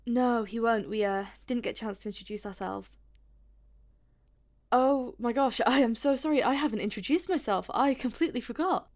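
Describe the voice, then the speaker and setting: A woman's voice: on the high side, a little fast, hardly any pauses, close to an everyday voice. A woman acting a polite, hesitant and sceptical visitor in a naturalistic audio drama, rambling a little awkwardly. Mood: hesitant, chatty.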